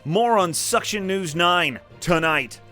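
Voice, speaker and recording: A man's voice: high-pitched, expressive, slow, loud music behind the voice. A man playing a brash, comically serious TV news anchor in a parody bulletin, with punchy announcer delivery. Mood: brisk, mock-serious.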